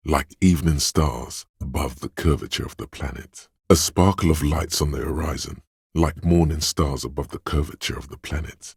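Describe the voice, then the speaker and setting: A middle-aged man voicing a disembodied male inner sense of sight in a video game, calm and watchful, describing things in a measured, matter-of-fact way. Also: rumbling low, husky, no room sound, clean studio sound, full character voice. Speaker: voice actor. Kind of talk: scripted video game voice line.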